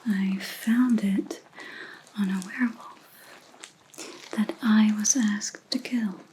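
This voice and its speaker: A woman playing a calm, menacing vampire hunter in an ASMR roleplay, whispering breathily and deliberately, close to the microphone. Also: mid-range voice, a little hiss or hum, lightly acted, low-quality recording. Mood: quiet menace. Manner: slow, deliberate, quietly accusing.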